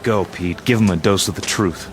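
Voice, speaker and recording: Guy playing a gruff slangy goon in a radio play, really low voice, music going behind. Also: moderate pace, lightly acted. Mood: gruff, eager. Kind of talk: radio drama acting.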